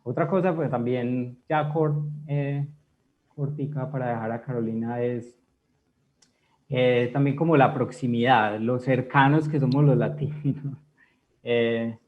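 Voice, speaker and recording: A man's voice: mid-range voice, steady tone, a little slow. A man from Colombia describing his travel experiences hesitantly, with many fillers. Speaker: guest. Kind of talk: conversational storytelling. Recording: tinny phone-like call audio.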